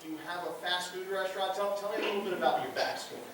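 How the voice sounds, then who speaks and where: A man hosts a convention panel with showman-like flair, speaking in a fairly high voice and steering the audience with clear instructions. He is heard from far off through the house speakers in an echoing hall, with room noise underneath. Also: steady tone, very fast, a bit bright. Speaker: panel moderator. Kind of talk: announcer-style convention hosting. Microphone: PA mic heard from a far camera.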